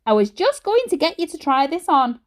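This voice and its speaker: A woman's voice: high voice, sing-song, fairly quick, voice pushed up. A woman voicing a well-meaning, nosy mum in a children's story, with a sing-song, insistent manner. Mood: curious, prying.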